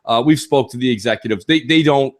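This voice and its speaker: A man's voice: mid-range voice, fairly quick. A man co-hosting a gaming talk show, speaking emphatically in opinionated, rhetorical bursts and often checking in with "okay" and "listen".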